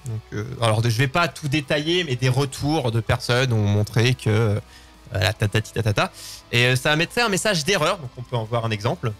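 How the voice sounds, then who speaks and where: A man's voice: mid-range voice, fairly quick, a bit bright, soft background music. A man hosting a live show in a chatty, informal, joking way. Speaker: show host. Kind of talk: casual live show presenting.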